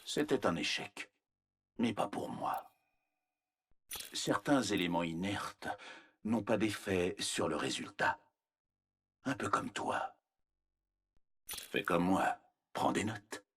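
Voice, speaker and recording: An actor playing a cold, arrogant, menacing scientist villain in a video game, speaking in a measured, condescending, clinical manner with a husky voice. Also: male voice, sits low, animated, fairly bright tone, full character voice. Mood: detached menace. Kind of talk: scripted video game character voice lines.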